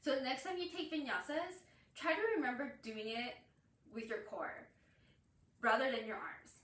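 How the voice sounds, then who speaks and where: A woman's voice: high voice, normal speed, a bit bright. A young woman teaching yoga, explaining breath and cueing poses while she demonstrates them. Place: small room with curtains and yoga mat, indoors. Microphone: far camera. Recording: echoey room, far from mic.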